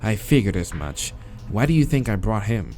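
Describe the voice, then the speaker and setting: A man's voice: on the low side, sing-song, normal speed, dark tone, faint music behind. A man voicing a blunt, impatient human man, the casual-spoken protagonist of a visual novel. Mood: offhand.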